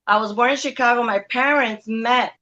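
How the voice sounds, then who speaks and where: A woman's voice: a little high, moderate pace, sharp tone. A middle-aged woman with a Puerto Rican accent, speaking casually as an interview guest over a video call. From Puerto Rico. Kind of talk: casual personal recollection. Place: outdoor family courtyard. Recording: dull lo-fi video call audio.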